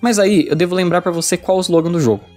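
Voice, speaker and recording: A man's voice: high-pitched, fast, darkish tone. A man with a Brazilian accent reading a casual, slangy scripted game review. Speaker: video essay narrator, game reviewer. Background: music.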